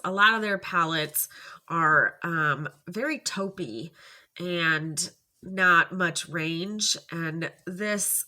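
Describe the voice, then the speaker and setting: A woman's voice: on the low side, bright, forceful tone. A middle-aged woman with a stuffy nose, chatting at home as she reviews makeup, rambling with many ums and self-corrections. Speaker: makeup vlogger. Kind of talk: casual makeup review chat.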